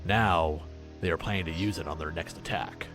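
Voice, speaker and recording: A man voicing a suave, menacing, fanatical politician in a visual novel, speaking in a theatrical, measured, pompous way. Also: sits low, loud music behind the voice, natural voice. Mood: grave, regretful.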